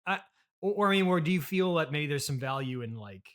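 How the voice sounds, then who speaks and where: A man hosting a comedy interview, hesitant and halting, politely skeptical as he asks questions. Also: fairly high pitch, animated. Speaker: interviewer, podcast host. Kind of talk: improvised deadpan comedy interview.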